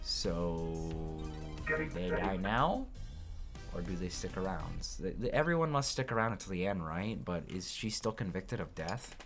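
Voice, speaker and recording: A man's voice: low voice, lively intonation, measured pace, a bit bright. Man casually commentating a game over music. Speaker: let's player reading a game aloud.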